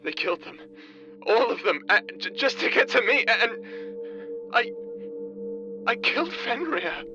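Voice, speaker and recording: Man playing an excitable, high-pitched young fantasy warrior. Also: unhurried, muffled recording, over music, exaggerated voice. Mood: guilty. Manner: excitable, stammering when distressed. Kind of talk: dramatic fantasy audio roleplay.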